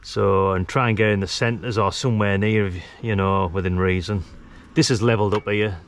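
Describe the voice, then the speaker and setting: Low-voiced British man over clear background noise. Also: steady tone, moderate pace. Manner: informal, easygoing, chatty. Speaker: DIY tutorial presenter. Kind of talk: casual hands-on how-to commentary. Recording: clearly noisy on-location recording.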